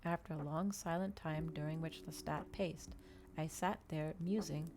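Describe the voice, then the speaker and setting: Dark, mellow woman reading ASMR. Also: deep voice, fairly even intonation, unhurried, a little hiss or hum. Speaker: streamer reading a novel aloud. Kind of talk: ASMR audiobook-style reading.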